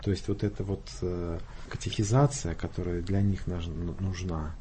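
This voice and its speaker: A man's voice: low-pitched, steady tone, moderate pace, warm tone, a little hiss or hum. A man speaking earnestly about faith, explaining in a pious, careful way. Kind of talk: talk show conversation.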